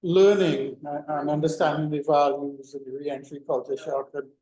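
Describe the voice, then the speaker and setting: A man's voice: on the high side, level tone, moderate pace, warm tone, reverberant, poor audio. A middle-aged man giving an informal classroom lecture, full of fillers and restarts. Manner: rambling, many fillers and restarts. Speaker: university lecturer.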